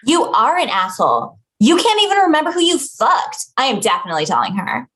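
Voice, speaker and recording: A woman's voice: high voice, big pitch swings, fairly quick, fairly bright tone. A woman reading the part of a sarcastic, easily insulted young woman, snippy and incredulous, at a comedy table read. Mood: indignant, snide.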